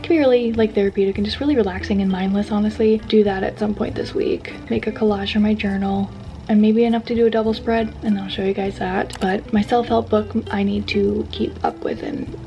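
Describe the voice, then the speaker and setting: Woman in her twenties, hoarse and soft-spoken, mellow casual vlogger. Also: mid-range voice, fairly even intonation, fairly quick. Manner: soft-spoken, chatty, frequent "like" fillers. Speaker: vlogger and teacher. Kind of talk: casual vlog chat.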